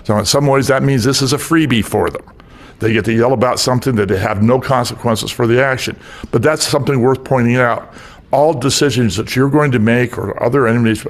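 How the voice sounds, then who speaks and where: Man, gravelly voice, measured, explanatory, meeting comment. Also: medium pitch. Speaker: meeting participant.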